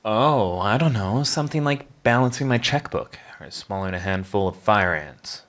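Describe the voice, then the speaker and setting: Low-voiced, sardonic male villain, played by a man. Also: lively intonation, a bit bright. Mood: sarcastic annoyance. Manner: sardonic, theatrical teasing, drawn-out mocking delivery. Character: sarcastic male villain, flippant, prickly, begrudgingly charming. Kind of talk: scripted ASMR roleplay audio drama.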